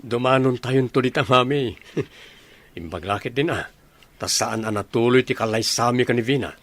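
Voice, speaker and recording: A man's voice: medium pitch, moderate pace, fairly bright tone, lightly acted. A man playing an earnest, affectionate young suitor and dutiful son in an audio drama. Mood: earnest, grateful. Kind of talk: radio-style audio drama acting.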